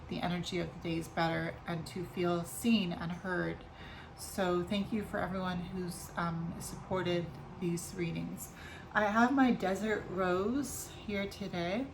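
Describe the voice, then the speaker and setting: A woman with a slightly raspy voice doing a casual tarot reading vlog, talking softly and unhurriedly with many ums and restarts. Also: lowish voice, noisy background. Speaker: tarot reader.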